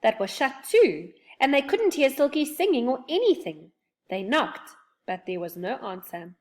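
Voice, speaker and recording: A woman reading a children's book aloud in a gentle, expressive storytelling manner. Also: high voice, normal speed.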